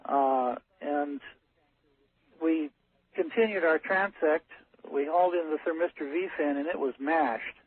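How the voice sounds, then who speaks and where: A man phoning a radio show, telling a story in a halting, matter-of-fact, technical way. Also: on the high side, breathy edge, no echo. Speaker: caller, ship's captain. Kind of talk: unscripted storytelling on a call-in show. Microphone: telephone. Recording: narrow muffled phone line on radio.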